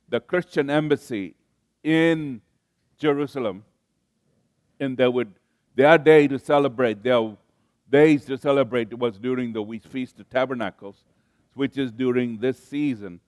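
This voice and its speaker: A man's voice: medium pitch, no room sound, studio quality. An older man born in Kenya preaching a sermon to a congregation, often restarting phrases and calling on listeners to respond. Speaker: pastor.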